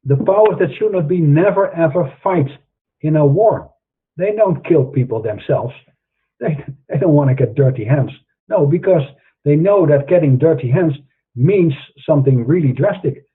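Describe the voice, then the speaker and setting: A man's voice: a little high, animated, normal speed, husky. A middle-aged man with a Dutch accent, hosting an online show and speaking earnestly in a preachy monologue with frequent restarts. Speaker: host. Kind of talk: monologue to camera. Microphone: webcam or online call. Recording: tinny phone-like line.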